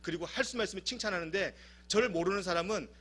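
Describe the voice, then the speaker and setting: Male pastor from South Korea, husky tone, humorous animated preacher. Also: sits high, racing. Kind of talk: sermon. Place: church service.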